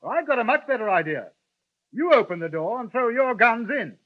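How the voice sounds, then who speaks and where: An actor playing a polite, drily menacing gentleman gunman, who also narrates in 1950s radio-drama style. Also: extremely high pitch, big pitch swings, fairly quick, exaggerated voice. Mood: wry calm. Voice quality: crisp, formal, drily menacing. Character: cool, polite gentleman gunman, middle-aged man. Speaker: narrator and lead. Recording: tinny old radio broadcast, faint hiss.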